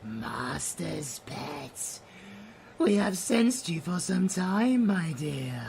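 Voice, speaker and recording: A sinister female void creature in an audio roleplay. She drawls extremely slowly with heavy gravelly fry and lively swoops, gloating and cooing with menace, over faint background ambience. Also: low-pitched, full character voice. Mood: predatory cooing.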